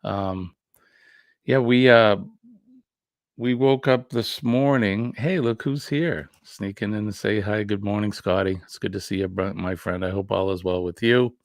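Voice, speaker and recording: A man's voice: on the low side, a little slow, dry recording. A man hosting a casual morning livestream, greeting viewers in an easygoing, joking way with many fillers. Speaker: livestream host.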